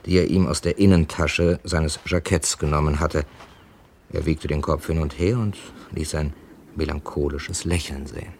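A wry amateur drawn into a mystery, telling it in a low, dry voice. He sounds like the lead of an old German radio thriller, with faint noise on the recording. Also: darkish tone. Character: male first-person crime narrator, wry, drawn into trouble. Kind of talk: 1950s-80s German radio crime play acting.

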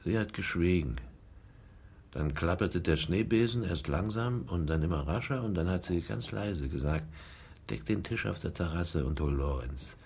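An actor playing a bitter, insecure middle-aged former general, a wounded son, in a naturalistic radio drama. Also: male voice, sits low, moderate pace, gravelly, a little mellow, husky, heavily acted. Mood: subdued, intense.

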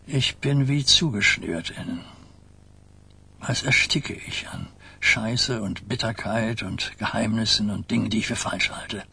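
Radio crime drama: an aging New York godfather, husky and deliberate, weary of secrets, guarded yet courteous. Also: lowish voice, pushed and bright, heavily acted. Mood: bitter, burdened. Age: older. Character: old New York mafia boss, burdened, wary, courteous. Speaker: actor.

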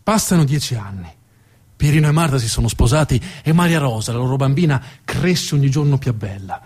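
A man's voice: mid-range voice, lively intonation, brisk, a little mellow. A man narrating as a stage storyteller, dramatic in delivery and repeating words for emphasis.

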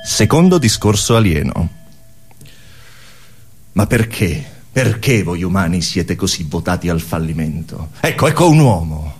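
A man's voice: low voice, sing-song, a little slow, a little mellow, breathy edge. A man performing absurdist comic theatre, announcing and acting sketches. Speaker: stage performer.

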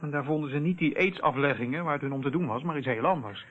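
An actor playing a matter-of-fact, proud police officer in a Dutch radio play, on an old narrow-band recording. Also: male voice, a little high, fast talker, lightly acted. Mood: explanatory.

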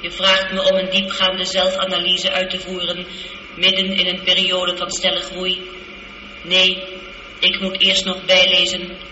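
Even-toned, bright female spaceship computer. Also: fairly low pitch. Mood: brisk, businesslike. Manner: measured, precise, unemotional. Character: calm, logical, seductive female spaceship computer. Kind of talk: radio drama acting. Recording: muffled, echoey, noisy old radio recording.

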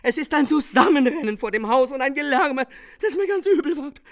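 An actress playing a distraught, chattering servant in a radio drama, agitated, breathless and stammering. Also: female voice, sits high, a little fast, lightly acted. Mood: horrified, frantic.

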